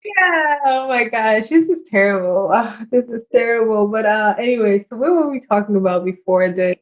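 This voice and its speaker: A woman who grew up speaking French hosts a relaxed podcast conversation in English. She talks in animated bursts with quick asides and laughs easily. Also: on the high side, dull audio. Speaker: podcast host. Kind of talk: casual interview chat.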